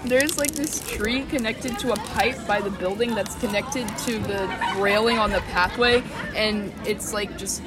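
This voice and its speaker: A woman's voice: mid-range voice, measured pace, hardly any pauses. A young woman chatting casually and playfully on a vlog, rambling with jokes and giggles while outdoors in a city. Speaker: vlogger. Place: outdoors in a busy urban area. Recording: crowd noise, distant mic.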